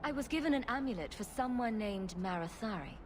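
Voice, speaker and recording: A woman's voice: on the high side, expressive, fairly quick, close to an everyday voice. An actress playing a confident, wry young woman adventurer in a video game. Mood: direct, matter-of-fact. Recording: clear background game noise.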